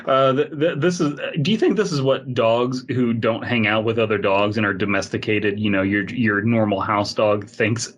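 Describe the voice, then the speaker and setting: A man's voice: lowish voice, moderate pace, hardly any pauses. A man co-hosting a comedy podcast, bantering casually and profanely as he reads and reacts to horror fiction. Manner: rambling, joking, profane. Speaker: podcast co-host.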